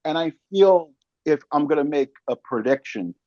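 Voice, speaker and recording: A slightly lo-fi, close and dry recording of a man with a somewhat high voice hosting a casual film conversation. He talks emphatically and slangily, reaching for analogies as he makes his points. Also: animated, moderate pace, a little mellow, no echo. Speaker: podcast host and interviewer.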